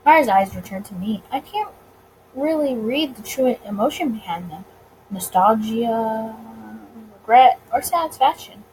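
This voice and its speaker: Woman, highish voice, expressive read-aloud narration, occasional stumbles, slightly noisy recording. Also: measured pace. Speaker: let's player reading a visual novel aloud.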